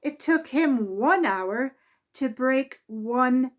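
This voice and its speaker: A woman reading a children's story aloud in an expressive, deliberate way, drawing out key words for emphasis. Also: high voice.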